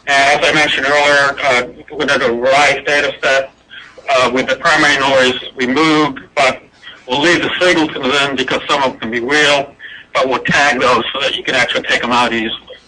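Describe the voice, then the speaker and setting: A man's voice: mid-range voice, steady tone, normal speed, sharp tone, a little hiss or hum, rough recording. A man presenting scientific results at a conference in an informal, explanatory, unscripted way. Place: indoor presentation room with projected slides.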